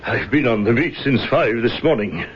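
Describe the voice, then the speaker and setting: An actor playing a dutiful, eager military scout, deferential and earnest, in an old-time radio drama. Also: male voice, mid-range voice, lively intonation, moderate pace, natural voice. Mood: urgent. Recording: muffled, faintly noisy old radio broadcast.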